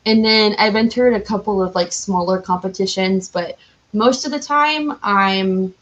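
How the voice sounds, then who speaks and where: A woman's voice: medium pitch, unhurried, muffled recording. Chatty young-sounding woman guest. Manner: chatty and animated, frequent "like". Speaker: podcast guest, cosplayer. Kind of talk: casual interview chat.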